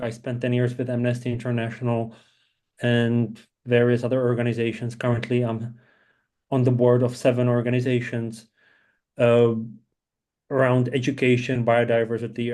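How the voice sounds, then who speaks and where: A man's voice: medium pitch, dark tone. A middle-aged man talking on a webinar panel in a loose, conversational way, with frequent fillers. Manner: conversational, frequent "you know" and "um". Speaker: impact investor, panelist. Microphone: video call.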